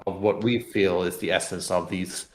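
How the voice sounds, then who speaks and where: Echoey room, guy w/ lowish voice, game designer chatting in an interview, kinda halting, repeats words while thinking. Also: steady tone, a little hiss or hum. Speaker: game designer and publisher guest. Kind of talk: casual interview talk.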